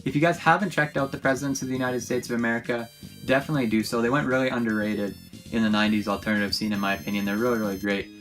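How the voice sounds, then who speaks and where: A man's voice: mid-range voice, steady tone, racing, fairly bright tone, soft background music. A man hosting a casual show-and-tell vlog in an informal, enthusiastic way. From Canada. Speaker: YouTube host.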